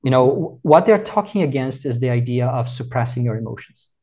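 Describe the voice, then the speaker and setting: A man, answering in a measured, reflective, teacherly way over a video call. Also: medium pitch, lively intonation, darkish tone. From Brazil. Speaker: meditation teacher, guest. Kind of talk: calm explanatory interview answers.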